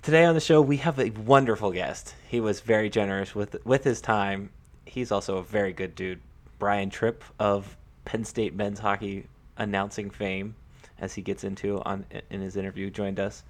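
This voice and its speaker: A man co-hosting a casual sports podcast, talking in a halting way with repeated words and lots of "like". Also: lowish voice, fairly even intonation. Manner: halting, repeats himself, peppers sentences with "like".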